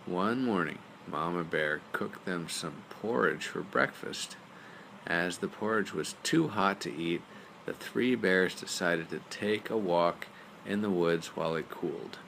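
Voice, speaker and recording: Low, gravelly man reading a bedtime story. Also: unhurried, a bit bright, poor audio. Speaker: storyteller, father reading aloud. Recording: faint background noise.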